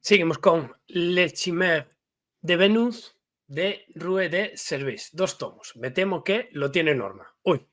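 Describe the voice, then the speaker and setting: Male host, higher voice, unhurried delivery, reads a list in short bursts. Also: lively intonation, a bit bright. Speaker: podcast host. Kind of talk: casual podcast chat.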